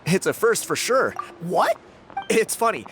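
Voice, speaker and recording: A woman's voice: medium pitch, very animated, measured pace, background noise. A woman playing a chatty, easygoing local tribe member in a video game, conversational and a little teasing. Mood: chatty, amused. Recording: game music behind the voice.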